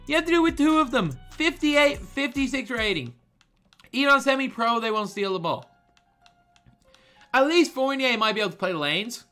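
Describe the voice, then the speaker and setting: A man's voice: extremely high pitch, pushed and bright. A young man with a lisp from a swollen tongue, ranting in exasperated gaming commentary. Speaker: gaming streamer.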